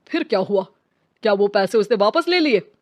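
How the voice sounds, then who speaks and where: A woman's voice: medium pitch, lively intonation, moderate pace, darkish tone, natural voice. A woman voicing blunt, scornful men such as policemen and husbands in a dramatised cartoon story. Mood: questioning. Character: male characters: gruff policemen and scornful angry men. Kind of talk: dramatised Hindi story dialogue.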